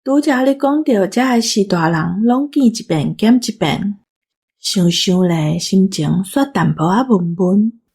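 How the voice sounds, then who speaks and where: A woman with a Taiwanese accent chats in a reflective way. Her voice is medium in pitch with a noticeably husky, breathy grain. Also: animated, measured pace, darkish tone.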